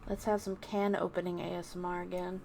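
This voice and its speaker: A woman livestreamer chatting casually to her viewers, musing off the cuff with pauses between thoughts. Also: lowish voice, flat delivery, vocal fry, a little mellow, a little hiss or hum. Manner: unscripted musing, addressing chat, with pauses. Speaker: VTuber livestreamer.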